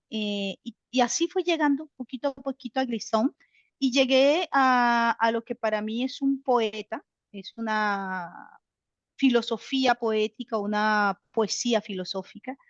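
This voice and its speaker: A woman from Colombia, answering an interview as an author in a thoughtful way, with many pauses and fillers. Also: on the high side, dry recording. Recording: dull, dry sound.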